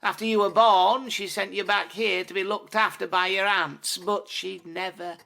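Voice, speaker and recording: A woman voicing a gentle, injured, ghostly male cat in an audio story, solemn and tender, with a hushed, halting delivery. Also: medium pitch, steady tone, gravelly.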